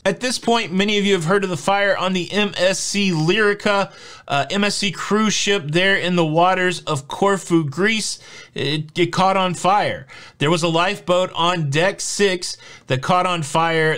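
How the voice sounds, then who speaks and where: A man in his forties delivers cruise updates from a home studio in a casual vlog style. His voice sits a little high for a man, and he keeps things chatty and jokey, tossing in fillers as he goes. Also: sharp tone. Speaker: host. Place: home studio with shelves of collectibles.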